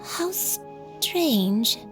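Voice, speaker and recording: Game role: frail elderly woman, unhurried, halting delivery, gentle, troubled and unsure. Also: a little high, big pitch swings, over music, exaggerated voice, poor audio. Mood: wistful, puzzled. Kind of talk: scripted video game acting.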